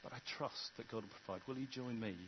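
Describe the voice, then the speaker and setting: A man's voice: medium pitch, fast, lo-fi sound, a little hiss or hum. A man preaching a sermon in an earnest, conversational way, often restarting his sentences. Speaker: vicar.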